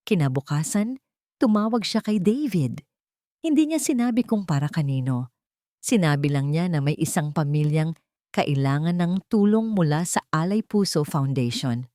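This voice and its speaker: A low-voiced woman narrates a scripted love drama. Her delivery is measured and solemn, like serious storytelling. The sound is very dry, with no echo around the voice. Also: warm tone.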